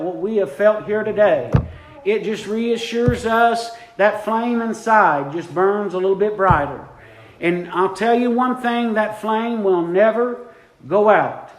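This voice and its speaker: Fervent preacher, high-pitched man, drawn-out lines, roomy sanctuary. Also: a bit bright. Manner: fervent, repeats lines for emphasis, drawn-out phrases. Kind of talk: impromptu sermon. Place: church sanctuary. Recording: echoey church room.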